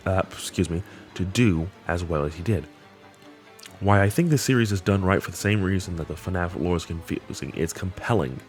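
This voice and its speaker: Low-pitched man giving casual commentary over music. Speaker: video host. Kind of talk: casual commentary on a horror web series. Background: background music.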